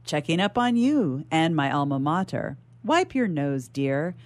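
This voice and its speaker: A woman voicing a loud, bossy but doting old grandmother in a short story read as radio theatre. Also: sits low, very animated, unhurried, no echo. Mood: brisk insistent. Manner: insistent, fussing.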